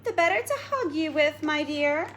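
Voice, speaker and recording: Lady doing a sly greedy wolf from a storybook, coaxing, fairly high voice, bit of background noise. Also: animated, moderate pace. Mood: sly pretending. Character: crafty, greedy male wolf, falsely friendly.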